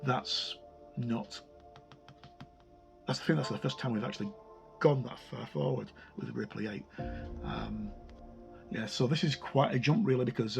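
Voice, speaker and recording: A man's voice: mid-range voice, vocal fry. A man giving a casual, unscripted walkthrough of a game sourcebook, rambling and hesitant, often correcting himself as he goes. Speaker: reviewer presenting a tabletop game sourcebook.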